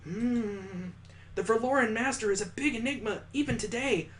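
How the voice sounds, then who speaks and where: Let's player doing a prim, high-voiced schoolgirl. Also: moderate pace, close to an everyday voice. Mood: measured, serious. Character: prim, knowledgeable schoolgirl acting as assistant teacher.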